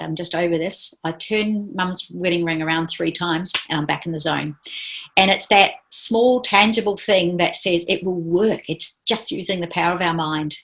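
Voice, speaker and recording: Woman, middle-aged, new zealand-australian hybrid accent, slightly low voice, lively storytelling motivational speaker. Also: low-quality recording. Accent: New Zealand mixed with Australian. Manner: lively storyteller, acts out quoted dialogue, laughs often. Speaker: guest speaker. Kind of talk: motivational talk.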